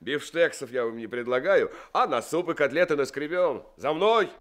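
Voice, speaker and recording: An actor playing a plainspoken, kindhearted truck driver in an old Soviet radio play. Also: male voice, on the high side, expressive, normal speed, fairly bright tone. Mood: gruff. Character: kindhearted middle-aged truck driver, blunt. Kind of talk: 1970s Soviet radio drama acting.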